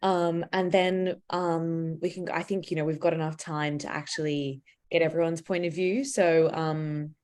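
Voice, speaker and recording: A woman's voice: low voice, flat delivery, measured pace, hardly any pauses, a little mellow. A woman hosting a workshop on a video call, encouraging others to speak. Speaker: workshop host. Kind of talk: casual chat on a video call.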